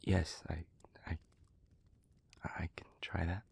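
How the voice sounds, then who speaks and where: Gentle, remorseful demon , played by a man, for intimate audio roleplay: gravelly, subdued and flat in melody, near-whispered, careful and hesitant. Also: full character voice. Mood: hesitant, earnest. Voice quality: breathy, hushed, near-whispering low voice. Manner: halting, earnest, careful, with long pauses.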